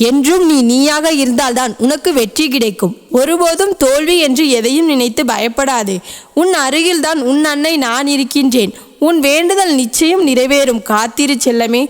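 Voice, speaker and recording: A loving, ageless mother goddess, voiced by a woman, speaks in a high, tender voice to a devotee she calls her child. Her words are solemn and reassuring, as in a devotional message. A long reverb rings around every phrase, as if in a vast hall. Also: lightly acted.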